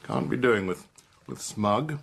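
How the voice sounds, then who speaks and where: Casting: fussy, disapproving British intelligence boss, animated clipped delivery, radio drama, faint noise behind. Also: male voice, on the low side, slow, creaky, exaggerated voice. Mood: disdainful. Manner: blustering, hesitant, clipped military speech.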